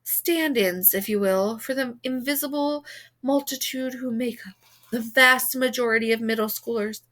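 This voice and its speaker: A woman's voice: fairly high pitch, normal speed, fairly bright tone, lightly acted. A woman voicing a goofy, earnest middle-school boy who declaims pompously. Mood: grandly earnest.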